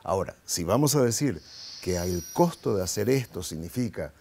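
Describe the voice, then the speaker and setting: A middle-aged man with a dark, mellow voice talks as an interview guest. He argues with passion and keeps asking rhetorical questions. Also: sits low, animated, moderate pace, creaky, slight background noise. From Paraguay. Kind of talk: interview, political commentary.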